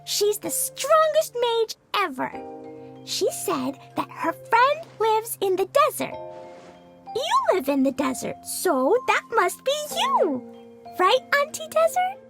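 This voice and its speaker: Bubbly, innocent little girl, extremely high and slow-paced, game cutscene with prominent music. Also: wildly swooping pitch, creaky, full character voice. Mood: proud, earnest. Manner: bubbly, childlike, earnest. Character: Cheerful, innocent, eager little girl, daughter of a mage. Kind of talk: video game cutscene voice acting.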